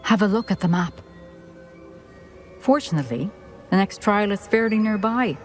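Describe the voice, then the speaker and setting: A woman playing a dignified former headmistress in a video game, speaking in a formal, measured manner. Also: medium pitch, animated, soft background music, lightly acted. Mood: grave, measured. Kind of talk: video game cutscene acting.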